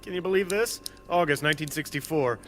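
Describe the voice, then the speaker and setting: An actor playing a sardonic newspaper reporter in a live radio play, recorded on stage with some noise. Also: male voice, on the high side, a little fast, a bit bright, close to an everyday voice.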